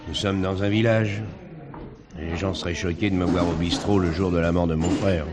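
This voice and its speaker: Low-voiced, reserved village farmer male actor. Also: steady tone, moderate pace, background noise, lightly acted. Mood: reserved, hesitant. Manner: hesitant, formal, guarded. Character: reserved, formal village farmer, dead man's brother. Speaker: actor in a police drama. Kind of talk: 1970s French police drama dialogue.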